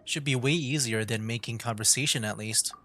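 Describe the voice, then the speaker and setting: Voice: mid-range voice, a little fast, background noise. A streamer giving casual gameplay commentary in a chatty way, reacting aloud while playing. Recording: music behind.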